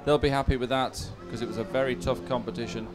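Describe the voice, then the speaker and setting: A man giving unscripted live sports commentary in a halting, wry, self-deprecating way. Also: medium pitch, fairly even intonation, over music.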